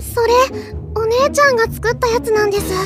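Gentle, high-voiced teenage girl. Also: brisk, over music. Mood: apologetic explaining. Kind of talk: anime audio drama acting.

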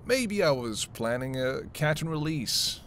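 A man's voice: a little high, animated, unhurried, a bit bright. A man playing a weary, flirtatious vampire with teasing, sarcastic banter in an intimate roleplay audio drama. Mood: sarcastic, defensive. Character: sardonic, flirtatious male vampire, weary and hungry. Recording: noisy, ambient sound effects behind voice.

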